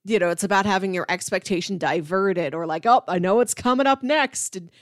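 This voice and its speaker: A woman co-hosts a casual film podcast in a mid-pitched voice. She talks enthusiastically and conversationally, often dropping in "like" as she goes. The sound is dry, with hardly any room to it. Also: fairly quick, no room sound. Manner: enthusiastic, conversational, peppers talk with "like". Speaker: podcast co-host. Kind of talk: casual film discussion chat.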